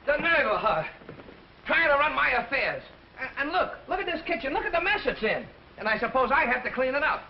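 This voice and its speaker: A man's voice: very high-pitched, big pitch swings, brisk, gravelly, slight background noise, full character voice. An American man playing a blunt, stubborn sailor father in a vintage film style, on a muffled old soundtrack. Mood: grumbling. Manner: blunt, curt, argumentative. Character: gruff, loud, stubborn sailor father, quick to anger. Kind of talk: vintage Hollywood film acting.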